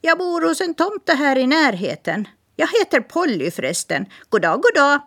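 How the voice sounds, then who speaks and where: A woman voicing a chatty female parrot with a very high, shrill squawking voice that repeats its greetings. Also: very animated, fairly bright tone, exaggerated voice. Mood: chirpy.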